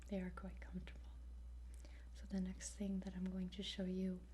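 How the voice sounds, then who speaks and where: A woman softly whispering an ASMR roleplay as an attentive dragon training guide, gentle and halting, with frequent pauses. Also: fairly low pitch, monotone, slight background noise, natural voice. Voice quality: soft, breathy whisper.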